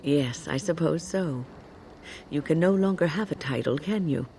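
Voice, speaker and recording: A kindly older woman mage from a fantasy game, with a mellow, soft-edged voice. She speaks in a gentle, measured and consoling way, like a wise companion. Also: deep voice, slight background noise. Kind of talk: fantasy video game dialogue.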